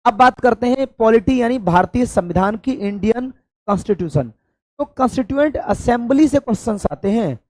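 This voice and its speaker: A hoarse man from India, teaching an exam-prep lesson and stressing points by repeating them. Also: extremely high pitch, expressive, fairly quick, no room sound. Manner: addresses students affectionately, emphatic repetition. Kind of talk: classroom-style exam coaching lecture.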